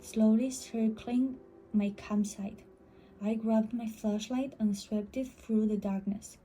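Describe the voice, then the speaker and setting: A woman's voice: fairly high pitch, fairly even intonation, slow pace, dark tone, slight background noise, poor audio. A woman reading a scripted first-person horror story as a storyteller.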